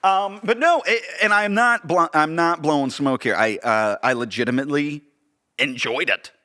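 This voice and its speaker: A man's voice: fairly high pitch, normal speed, bright, forceful tone. An American man co-hosting a podcast as a production director, chatting casually in an informal, earnest way. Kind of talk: casual chat.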